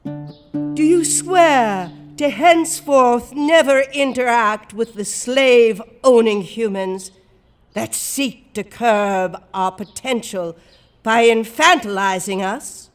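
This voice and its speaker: A woman's voice: on the high side, slow, soft background music. A woman playing a stern female cat leader in an audio drama, speaking in a formal, ceremonial manner. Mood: solemn, stern. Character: solemn, stern female cat leading a village commune.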